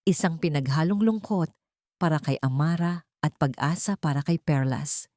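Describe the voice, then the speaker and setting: Female storyteller for dramatic audio fiction, lowish pitch, soft dark tone. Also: moderate pace, no echo, clean studio sound. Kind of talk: scripted dramatic story narration.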